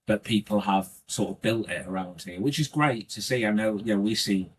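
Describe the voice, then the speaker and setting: A man's voice: lowish voice, brisk, breathy edge. A man from North Wales with a North Welsh accent, an indie filmmaker guest talking in an earnest, reflective way and often restarting his sentences. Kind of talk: casual podcast interview.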